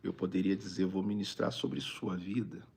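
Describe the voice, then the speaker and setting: A Brazilian man with a raspy, gravelly voice, a preacher talking conversationally on a live stream and repeating phrases for emphasis. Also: deep voice, moderate pace, darkish tone, slight background noise. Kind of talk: informal livestream preaching, reading viewer greetings.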